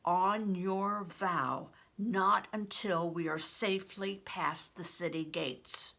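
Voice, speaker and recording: A determined boy hero from a medieval storybook, voiced by an older woman reader. He makes his demands slowly and insistently. The voice is forceful, with a creaky edge. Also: on the low side, steady tone, exaggerated voice. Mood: firm, defiant. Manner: insistent, emphatic declarations.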